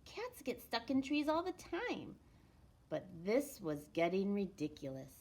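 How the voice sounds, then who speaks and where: Woman reading a picture book, very lively intonation. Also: medium pitch. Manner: animated storytelling with deliberate pauses and emphasis. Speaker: elementary school storytime reader. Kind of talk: expressive children's picture-book read-aloud.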